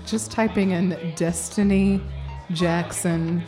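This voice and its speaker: Young woman, lowish voice, bubbly and laughing, faint music behind. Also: fairly even intonation, slow pace. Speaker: actor guest. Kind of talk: casual radio interview. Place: storefront music bar.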